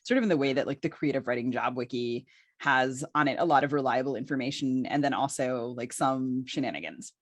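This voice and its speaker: A woman's voice: rumbling low, brisk, dry recording. A woman in her forties chatting casually as a podcast co-host. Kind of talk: casual conversational interview.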